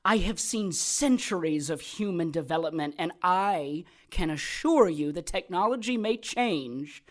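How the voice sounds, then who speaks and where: Gleeful immortal villainess, sadistic, cynical, theatrical taunts, slow delivery, audio drama. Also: female voice, on the low side, animated. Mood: smug, philosophical.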